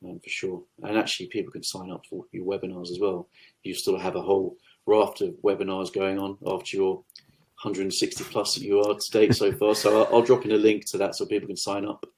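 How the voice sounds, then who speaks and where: A man hosting a podcast interview, agreeing keenly and thinking out loud. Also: low voice, little pitch movement, a little fast, a little hiss or hum, rough recording. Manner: enthusiastic, agreeable, thinks aloud. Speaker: podcast host. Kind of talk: casual interview chat.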